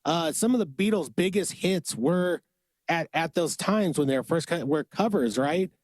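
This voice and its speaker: A man's voice: fairly high pitch, expressive, moderate pace, no room sound. Smoky-voiced upbeat male podcast host. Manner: upbeat, plugging events and urging listeners. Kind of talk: casual podcast chat. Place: studio.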